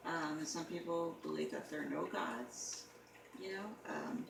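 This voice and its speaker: Woman, lower voice, gravelly, halting speech full of fillers, casual chat, distant mic in an echoey noisy room. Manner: halting, many "you know" fillers. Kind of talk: casual conversation.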